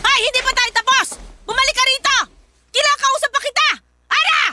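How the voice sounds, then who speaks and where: A woman's voice: very high voice, steady tone, fairly quick, sharp tone, exaggerated voice. An actress playing a domineering, resentful middle-aged Filipino mother who scolds and accuses in a radio drama. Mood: furious. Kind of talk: emotional radio drama acting.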